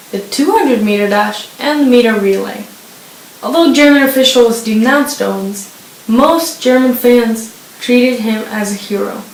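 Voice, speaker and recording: A young woman reading scripted news as an anchor. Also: fairly high pitch. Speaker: news anchor in an amateur newscast. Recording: roomy echo with faint hiss.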